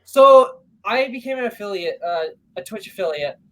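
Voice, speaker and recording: A man's voice: high-pitched, lively intonation, moderate pace, fairly bright tone. A man chatting casually as a guest in a group conversation about video games. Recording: noticeable background noise.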